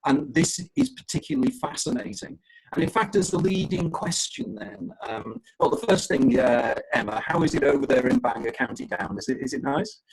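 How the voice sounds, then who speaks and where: A man hosting an interview podcast, chatty and halting, often repeating words. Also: medium pitch, lively intonation, vocal fry, breathy edge, poor audio. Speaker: podcast host. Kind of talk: casual interview chat.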